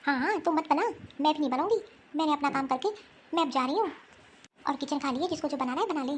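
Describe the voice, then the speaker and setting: A woman plays a quarrelsome young wife, the elder daughter-in-law, who snaps back with rude, defiant retorts. Her voice is very high for a woman. The scene comes from a homemade family skit. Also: fast talker, a little hiss or hum, lightly acted.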